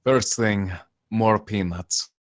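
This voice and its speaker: A man's voice: fairly low pitch, takes it slowly, no room sound, clean studio sound. A man playing a surly, demanding spaceship boss at a screenplay table read. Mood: deadpan menace.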